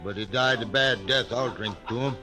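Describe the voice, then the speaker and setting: A man playing a rough, nervous frontier hide skinner in an old radio western, with a folksy drawl. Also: mid-range voice, moderate pace, music in the background, close to an everyday voice. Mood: wary. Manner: folksy drawl, eager and wary. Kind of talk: 1950s radio western acting.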